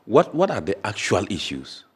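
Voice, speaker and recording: Guy hosting, very deep voice, calm probing questions. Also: slightly rough. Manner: measured and probing, pushing back on the guest. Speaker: show host. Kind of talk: studio interview.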